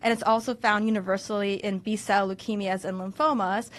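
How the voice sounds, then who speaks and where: A woman's voice: medium pitch, steady tone, fairly quick. A woman physician giving a medical webinar lecture, explaining step by step with frequent "so" and restarts; English is her second language after Russian. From United States. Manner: explanatory, many "so" fillers and restarts. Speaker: physician presenter.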